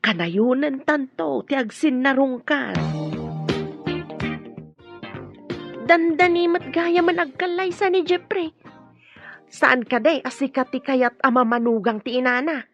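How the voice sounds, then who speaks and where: Caring older mother, played by a woman, Ilocano accent, fairly high voice, gently anxious, muffled radio drama sound. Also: a little slow, faint music behind. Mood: tender.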